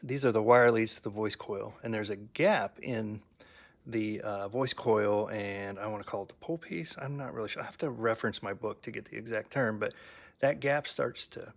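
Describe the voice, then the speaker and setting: A man with a fairly low voice walks through his DIY speaker project in an easygoing, rambling way. He trips over his words, restarts sentences, and fills pauses with ums as he explains. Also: creaky. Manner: rambling, self-correcting, with frequent ums and stammered restarts. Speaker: DIY audio hobbyist showing his speaker build. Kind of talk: casual workshop show-and-tell.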